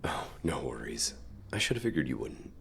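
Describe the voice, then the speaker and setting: A man playing a suave, dominant company CEO in an ASMR roleplay, speaking to the listener with measured, polite, quietly controlling reassurance and deliberate pauses. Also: rumbling low, sing-song, gravelly, full character voice. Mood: courteous, inviting. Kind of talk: ASMR roleplay acting, addressing the listener directly.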